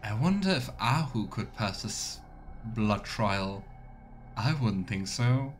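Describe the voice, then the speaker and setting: A man streaming a video game with casual commentary and role-play asides, thinking out loud. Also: on the low side, expressive, slow, soft background music.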